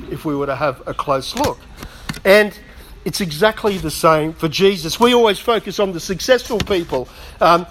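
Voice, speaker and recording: Hoarse pastor, high voice. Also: male voice, fairly bright tone, a little hiss or hum. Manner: conversational, self-deprecating humour, frequent asides. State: losing his voice. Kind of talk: sermon.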